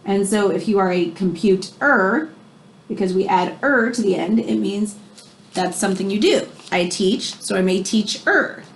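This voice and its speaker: A woman teacher reading a children's nonfiction book aloud, explaining as she goes and stressing key phrases. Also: fairly high pitch. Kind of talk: expressive read-aloud of a children's nonfiction book with brief asides.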